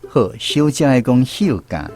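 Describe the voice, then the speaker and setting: A man's voice: medium pitch, big pitch swings, unhurried, darkish tone, faint music behind. A man with a Taiwanese accent hosting an educational language quiz on the radio. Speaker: radio host of a language segment.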